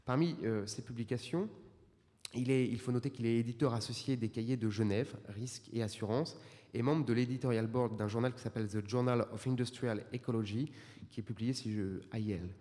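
A man gives a formal introduction of a speaker at a conference. His voice is mellow and dark, and he stumbles a little as he reads. The long reverb of a lecture hall surrounds him. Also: mid-range voice.

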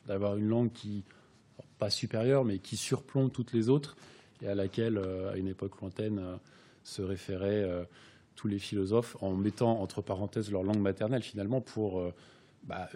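Low-voiced guy hosting, kinda raspy, lots of euhs as he asks stuff. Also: warm tone. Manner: halting, filler-heavy questions. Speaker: host. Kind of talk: interview questions.

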